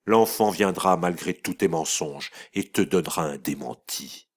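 A man's voice: deep voice, animated, measured pace, breathy edge, full character voice. A man reading an audiobook voices a harsh, imperious mother turned accuser, speaking in a cold, relentless, commanding way. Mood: furious.

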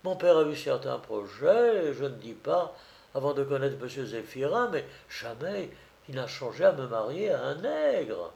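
A man's voice: high voice, expressive, unhurried, creaky, pitched above the performer's own voice, heavily acted. A man voicing a prim young bride-to-be in a comic storybook tale, horrified and indignant, with dramatic wailing.

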